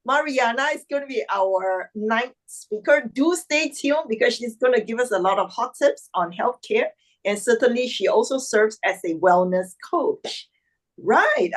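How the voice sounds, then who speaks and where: Brief: upbeat female host of an online club meeting, a touch high-pitched, video call. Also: rough recording. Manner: upbeat, exclamatory. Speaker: meeting host introducing guests.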